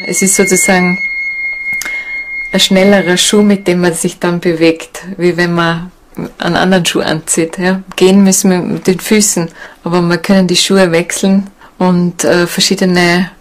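A woman speaking informally in an interview, reflective, with halting phrasing. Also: fairly low pitch, little pitch movement. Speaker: shamanic seminar leader, interviewee.

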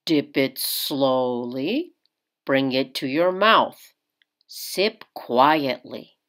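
A woman reading a storybook as an older great-uncle, a patient, worldly, encouraging tutor, measured and instructive. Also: extremely low pitch, expressive, a bit bright, pitched below the performer's own voice, full character voice.

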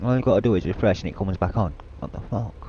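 A man's voice: sits low, fast talker, muffled recording, a little hiss or hum. A young man from Yorkshire, chatting and joking on a headset while streaming a driving game. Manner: lively, joking, protests innocence. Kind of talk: casual gaming chat.

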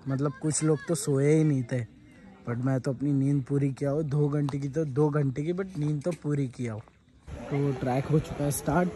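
A young man vlogging to his phone camera outdoors on a forest trek, chatty and enthusiastic as he walks. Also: on the high side. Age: young adult. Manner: chatty, enthusiastic, talks to camera while walking. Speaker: travel vlogger. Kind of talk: casual trekking vlog. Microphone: phone at arm's length.

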